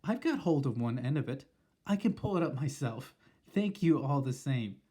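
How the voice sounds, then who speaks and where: A man voicing an elderly grandmother with a high, calm and composed voice. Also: normal speed, slightly rough. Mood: falsely polite. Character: cool, kindly old grandmother, high-voiced.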